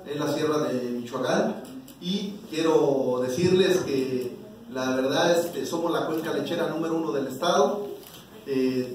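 A man's voice: on the high side, darkish tone. A man in his fifties with a Mexican accent, speaking informally as a local official at a press conference. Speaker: municipal official. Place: auditorium stage, indoors. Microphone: handheld mic, recorded by distant camera. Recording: echoey hall, distant camera, background noise.

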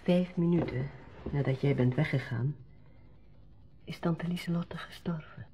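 An actress playing a calm, caring, composed woman in a radio drama. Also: low voice, unhurried, dull audio, a little hiss or hum. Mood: gentle, serious.

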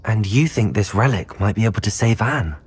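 A young man with a British accent playing a polite, composed student wizard hero in a video game, speaking in a measured way. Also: lowish voice, lively intonation, breathy edge, no echo. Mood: calm, sympathetic. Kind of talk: video game cutscene acting.

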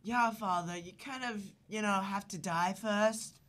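A man's voice: high-pitched, a little slow, fairly bright tone, pitched above the performer's own voice. A man doing a bossy scheming mob daughter.

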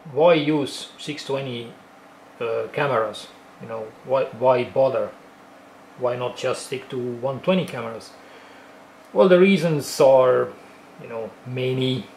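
A man's voice: mid-range voice, gravelly, darkish tone, echoey room, a little hiss or hum, rough recording. A man with an Estonian accent casually explaining film cameras in a tutorial, with frequent fillers and restarts. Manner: talks with many fillers and restarts, explaining step by step. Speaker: film photography hobbyist presenter.

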